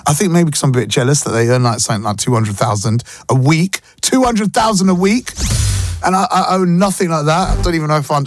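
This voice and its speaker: A man hosting a casual morning radio show, rambling and jokey, reading out listener shout-outs and now and then breaking into a bit of song. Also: fairly high pitch, fast, slight background noise. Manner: chatty, rambling, jokey, drops into brief singing. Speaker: radio presenter. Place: radio studio. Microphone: studio microphone. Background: music bed.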